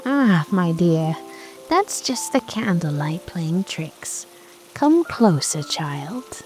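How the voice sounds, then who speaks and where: A woman's voice: lowish voice, very animated, poor audio. A woman voicing a sly, predatory wolf with a falsely sweet, coaxing manner in a bedtime storybook. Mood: falsely kind menace. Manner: wheedling, drawn-out, mock-kindly. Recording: soft music and rain behind.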